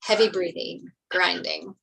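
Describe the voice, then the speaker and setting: A woman narrates a screenplay, reading out the stage directions. Her voice is a little low in pitch, in a table-read style. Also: steady tone, unhurried, creaky, fairly bright tone, husky, rough recording.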